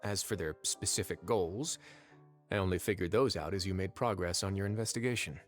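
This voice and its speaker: A man playing a composed, shrewd fortress chief in a video game, speaking in a measured, formal, wry way. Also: deep voice, soft background music, natural voice. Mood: calm, explanatory. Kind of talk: scripted video game voice acting.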